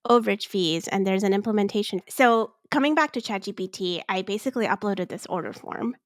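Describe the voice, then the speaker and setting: A woman's voice: medium pitch, brisk, no room sound. A woman gives a casual walkthrough as a finance expert, with a mid-range voice and a dry, close sound. She talks informally and conversationally, often voicing example instructions and questions as she explains. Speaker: finance expert giving a software tutorial.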